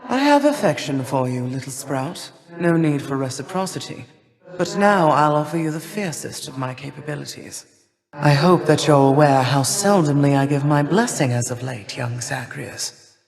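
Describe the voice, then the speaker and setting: A woman playing an imperious, cold older goddess of winter and harvest in a video game, with stately, formal, measured delivery. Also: low voice, animated, gravelly, a little mellow. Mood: grave, imperious. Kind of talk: theatrical video game character lines. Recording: long reverberant hall echo.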